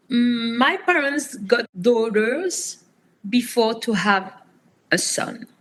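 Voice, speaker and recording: Woman, 50s, slightly high voice, slow and halting, interview guest. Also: a bit bright, poor audio. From France. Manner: slow, halting speech with frequent fillers and restarts. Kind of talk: personal storytelling interview.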